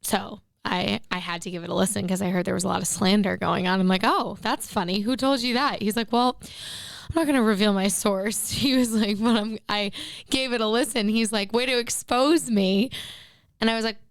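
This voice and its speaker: A woman's voice: medium pitch, moderate pace, creaky, no room sound, clean studio sound. A young woman co-hosting a casual podcast, chatty and laughing often, acting out the people she quotes. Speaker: podcast co-host. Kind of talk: casual chat.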